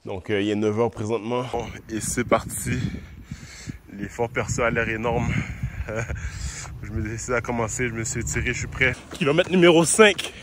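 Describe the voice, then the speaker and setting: Quebec french guy filming himself on a run, sick and puffing, loud outdoor noise all over. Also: mid-range voice, normal speed, long pauses. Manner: talking to the camera mid-run, with English slang mixed in. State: sick and out of breath while running. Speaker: vlogger. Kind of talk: casual running vlog. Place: outdoors on a road.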